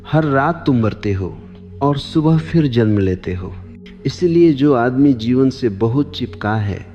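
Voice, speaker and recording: Slow-paced man in long reverb. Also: medium pitch. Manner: deliberate, short measured phrases with repetition. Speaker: spiritual teacher. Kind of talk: slow meditative discourse for sleep. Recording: long hall reverb, dull lo-fi sound. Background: clear background music.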